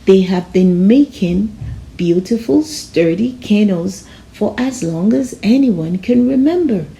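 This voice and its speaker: A woman narrating a children's storybook aloud in a measured, expressive storytelling manner. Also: mid-range voice, creaky, poor audio. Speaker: storybook reader.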